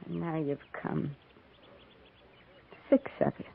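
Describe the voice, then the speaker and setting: Female for proud, wounded western widow; husky, plainspoken, rustic, vintage thin radio sound. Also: deep voice, big pitch swings, takes it slowly, heavily acted. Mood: accusing. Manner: plainspoken, rustic folksy grammar. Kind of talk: 1950s radio western acting. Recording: muffled, tinny 1950s radio recording.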